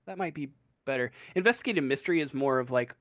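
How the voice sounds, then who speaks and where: A man acting as game master, improvising narration for a tabletop role-playing game in a halting way, thinking aloud. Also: mid-range voice, fairly bright tone, dry recording.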